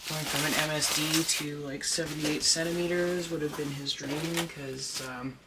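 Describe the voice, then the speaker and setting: A deep-voiced person chatting casually while unboxing a doll at home, rambling and gushing with excitement. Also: normal speed. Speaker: doll collector and vlogger. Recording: noisy home recording.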